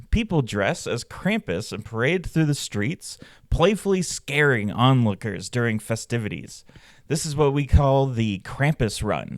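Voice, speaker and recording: A man hosting a podcast, reading scripted narration and stories in a measured, dramatic way. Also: medium pitch, clean studio sound. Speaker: podcast host and storyteller. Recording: roomy echo, faint music behind. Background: faint background music.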